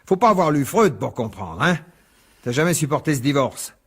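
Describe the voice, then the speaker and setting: A man's voice: on the high side, very animated, moderate pace, fairly bright tone, husky, heavily acted. An actor playing an agitated, sarcastic middle-aged divorced father in a French radio drama, biting and insistent. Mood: scornful. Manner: biting, insistent, repeats himself.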